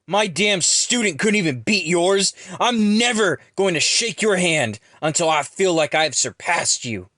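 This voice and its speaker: A male actor plays a resentful martial arts rival in an audio drama. His voice sits a little high and has very little room sound. He is aggressive and sneering, a hot-tempered man spoiling for a confrontation. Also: expressive, unhurried, hardly any pauses, sharp tone, dry recording, studio quality, full character voice. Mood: bitter, aggravated. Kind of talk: dramatized audio story dialogue.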